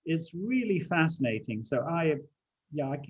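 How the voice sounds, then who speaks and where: A man in his seventies who grew up in China, recalling his life in relaxed talk with many fillers and restarts over a video call. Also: a little high, moderate pace, dull audio. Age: 70s. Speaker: guest speaker. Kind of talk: relaxed conversational recollection.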